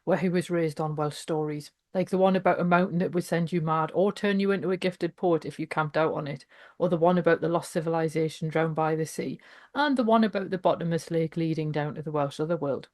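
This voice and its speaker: A woman's voice: sits low, a little fast, darkish tone. A woman hosting a podcast, introducing her guest and asking casual, chatty questions. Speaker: podcast host.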